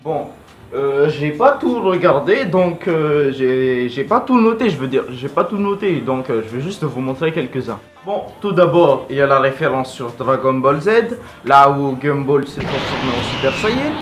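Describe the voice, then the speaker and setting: A man's voice: mid-range voice, expressive, moderate pace, faint music behind. A male host giving casual, rambling and blunt fan commentary in an informal vlog. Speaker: video host.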